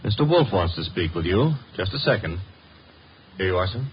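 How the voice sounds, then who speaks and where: A breezy private eye's legman with a low, very animated voice. He is flippant and slangy, always wisecracking, in old radio drama style. The recording is muffled and slightly noisy. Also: moderate pace. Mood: incredulous, wry. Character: breezy, wisecracking male detective's legman and assistant. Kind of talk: 1950s radio drama acting.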